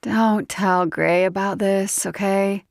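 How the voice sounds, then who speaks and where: A woman's voice: mid-range voice, steady tone, slow pace, creaky, dry recording, studio quality, voice pushed up. A woman voicing a tipsy, cheeky young woman with a Southern accent, sassy and giggly in an audiobook. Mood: coaxing. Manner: giggly, sassy, folksy exclamations.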